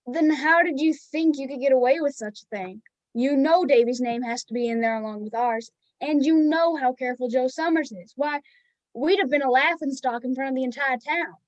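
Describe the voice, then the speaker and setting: A woman in an amateur play acting a stern small-town husband and father, gruff and reproachful. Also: high-pitched, normal speed, no room sound, muffled recording, natural voice. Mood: stern, scolding. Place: filmed on a video call.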